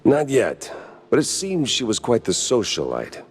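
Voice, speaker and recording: A man's voice: medium pitch, huge pitch swings, unhurried, slightly rough, exaggerated voice. A man voicing a wry, world-weary mouse private detective in a noir video game, with a hard-boiled delivery. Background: music.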